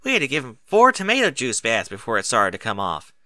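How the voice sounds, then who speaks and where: Man playing a bright, bossy farm mare. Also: mid-range voice, huge pitch swings, quick pace, no echo, studio quality. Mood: teasing. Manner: folksy, plain-spoken. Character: folksy farm mare, teasing, bossy big sister.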